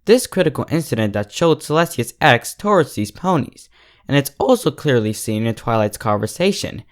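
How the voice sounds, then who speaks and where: A man's voice: medium pitch, big pitch swings, normal speed. A man speaking as the host of an online fiction review channel, giving a scripted critique straight to his viewers.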